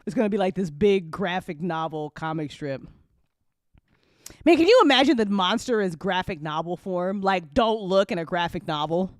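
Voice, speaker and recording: A woman chatting casually and unscripted on an after-show, telling stories in a rambling, animated way with slangy swearing. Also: lowish voice, moderate pace, no echo, clean studio sound. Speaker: audio drama creator chatting on a live after-show.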